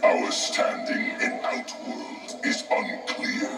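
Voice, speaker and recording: An actor voicing a solemn, menacing entity of many merged souls in a fighting game, speaking gravely and formally with an echoing effect. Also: male voice, slow pace. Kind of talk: scripted fighting game dialogue. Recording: echoey game audio under loud music. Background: loud game music.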